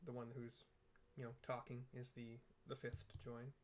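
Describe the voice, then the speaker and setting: A man casually describing a video game's cutscenes as they play, in a relaxed way and often correcting himself. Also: mid-range voice, moderate pace. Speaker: let's play narrator. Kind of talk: casual commentary on a game.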